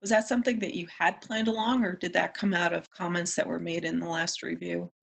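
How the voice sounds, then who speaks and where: A woman's voice: on the low side, fairly even intonation, brisk, gravelly. A woman on a review panel, asking questions and giving feedback in a conversational style.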